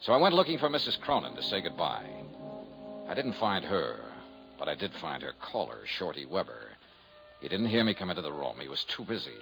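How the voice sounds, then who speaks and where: An actor playing a cool, wry hard-boiled detective and narrator, clipped and knowing, in 1950s radio style. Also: male voice, low voice, sing-song, brisk, creaky, music in the background, heavily acted, rough recording. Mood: dry narration. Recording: vintage radio drama recording.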